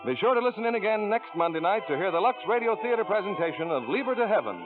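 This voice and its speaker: A man's voice: sits high, expressive, fast, phone-quality sound, music in the background. A man announcing in scripted 1940s radio style on a thin old broadcast.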